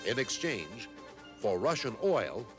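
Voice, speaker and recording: Male newsreel narrator with lively intonation. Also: mid-range voice, slow pace, faint music behind. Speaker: documentary narrator. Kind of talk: scripted historical newsreel narration.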